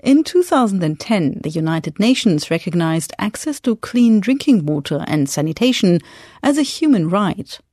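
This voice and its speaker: A woman's voice: mid-range voice, very animated, moderate pace, mellow, dry recording. A woman presenting a scripted radio news report in a studio. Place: dry studio.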